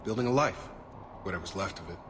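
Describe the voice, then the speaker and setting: A weary, principled soldier acted by a man speaks in a low, grounded voice. He is earnest and plainspoken, in the manner of a dramatic video game, with clear noise behind him. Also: fast talker, natural voice. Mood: reflective. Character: weary, principled male soldier protecting his people.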